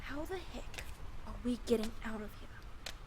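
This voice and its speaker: Woman acting a high-voiced wasteland rescuer. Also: unhurried, close to an everyday voice. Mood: tense, wary. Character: bickering female rescuers in a fantasy wasteland. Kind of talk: audio drama acting. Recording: clear background noise.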